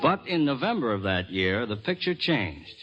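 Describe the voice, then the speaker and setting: An actor playing a wry, bookish young medical student in an old-time radio drama, with a dry, genteel manner. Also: male voice, medium pitch, very animated, moderate pace, natural voice. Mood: wry, reminiscing. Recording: muffled old radio recording with music.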